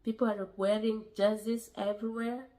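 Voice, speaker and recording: A woman from Kenya with a Kenyan accent, giving earnest, pause-filled advice to newcomers in a casual vlog. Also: medium pitch, little pitch movement, dark tone. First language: a Kenyan language. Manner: earnest, repeats herself, many pauses and fillers.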